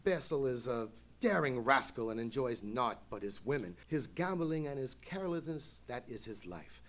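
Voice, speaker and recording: A man playing an anxious, courteous English lord in a live radio play, with a husky voice and formal, florid speech. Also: medium pitch, animated, normal speed, fairly bright tone, close to an everyday voice. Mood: apologetic. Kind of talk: live old-time radio play acting.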